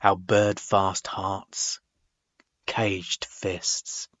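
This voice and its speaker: A man reading his own poetry dramatically, in measured, deliberate phrases broken by frequent pauses. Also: low voice, level tone, a bit bright, dry recording, highs cut off. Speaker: poet reading own work.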